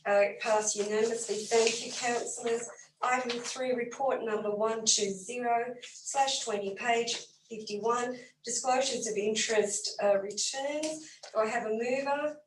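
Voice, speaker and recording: A woman chairing a council meeting as mayor, running through agenda items in a brisk, businesslike way, her voice a little croaky. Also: on the high side, fairly even intonation. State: slightly croaky voice. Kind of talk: formal meeting procedure. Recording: echoey room, far from mic, faint noise.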